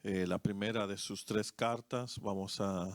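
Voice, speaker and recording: A man with a Dominican accent, a co-pastor, preaching and praying in a church service with a slow, deliberate cadence. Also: on the low side, fairly even intonation, dry recording. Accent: Dominican Spanish. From Dominican Republic. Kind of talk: sermon and congregational prayer.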